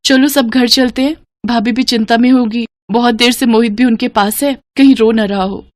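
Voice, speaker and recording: A woman's voice: a little high, steady tone, normal speed, no echo, clean studio sound, close to an everyday voice. A woman voicing tender-hearted, caring sisters in a family story, with an earnest, emotional delivery. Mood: reassuring.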